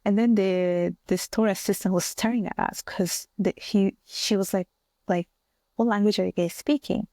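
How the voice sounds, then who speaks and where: A woman's voice: mid-range voice, steady tone, moderate pace, dark tone, no room sound. A woman from Italy with an Italian accent, a native Bengali and Italian speaker, telling personal stories as a podcast guest. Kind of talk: conversational personal storytelling.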